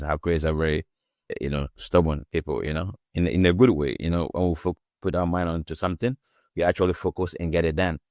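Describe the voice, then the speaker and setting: Deep-voiced man telling stories. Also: no room sound, clean studio sound. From Ghana. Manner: rambling, relaxed storytelling with frequent "you know". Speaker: guest author. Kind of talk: casual interview.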